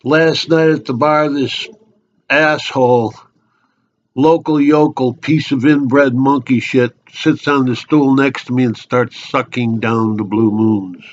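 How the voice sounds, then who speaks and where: A slightly muffled recording of a man performing a bitter loner at a bar. He delivers a first-person dramatic monologue in a mid-pitched voice, sullen, terse and weary. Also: steady tone, takes it slowly, close to an everyday voice. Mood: contemptuous. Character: bitter, foul-mouthed loner drinking at a bar.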